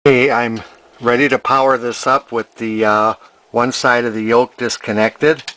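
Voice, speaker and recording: Bright-voiced man explaining a repair haltingly. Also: mid-range voice, fairly even intonation, low-quality recording. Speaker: amateur vintage TV restorer. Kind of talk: informal hands-on repair demonstration. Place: indoor home workshop.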